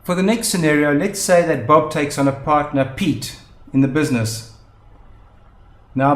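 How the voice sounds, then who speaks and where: A man presenting a software tutorial, explaining methodically step by step. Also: a little high. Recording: roomy, echoing voice-over.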